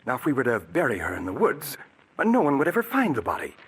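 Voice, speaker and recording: Smooth, manipulative brother in 1940s radio drama style, slightly husky. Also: male voice, medium pitch, wildly swooping pitch, quick pace, exaggerated voice. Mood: persuasive. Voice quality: persuasive, stammering theatrical delivery. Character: cunning brother, smooth schemer. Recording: old radio broadcast.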